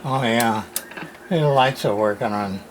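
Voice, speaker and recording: A radio repair host talks slowly through a hands-on unboxing in a casual way. The remarks come in short, muttered fragments, as if to themselves. Clear background noise runs behind the voice. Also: mid-range voice.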